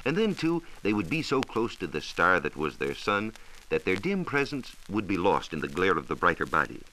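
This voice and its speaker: An American man explaining science in a measured, precise, didactic way, in a scripted 1940s radio style. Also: low-pitched, very animated, pushed and bright, low-quality recording. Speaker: science reporter explaining astronomy. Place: radio studio. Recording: hissy 1940s radio transcription disc.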